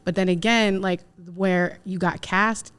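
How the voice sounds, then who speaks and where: A woman chatting casually on a panel, telling personal stories in an animated, conversational way. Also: mid-range voice, unhurried, clear, resonant tone. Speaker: actress, panel guest.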